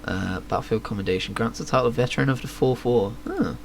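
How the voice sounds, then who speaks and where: A man's voice: mid-range voice, quick pace, vocal fry. A man doing casual, understated live game commentary and reading aloud, speaking into a streaming microphone. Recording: faint background noise. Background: faint game ambience.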